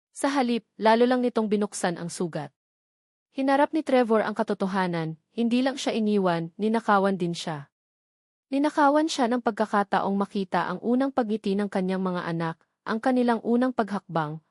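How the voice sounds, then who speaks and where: A woman narrates a dramatic love story from a script. Her voice is mid-pitched, and the recording is dry, with no room sound. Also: a little mellow, no echo.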